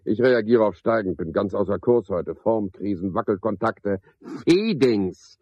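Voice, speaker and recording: An actor playing a cynical, chatty night porter in a radio play, musing sardonically in clipped phrases. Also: male voice, on the low side, animated, a little slow, slightly rough, dry recording, highs cut off. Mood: mock-jovial. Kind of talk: 1970s German radio play monologue.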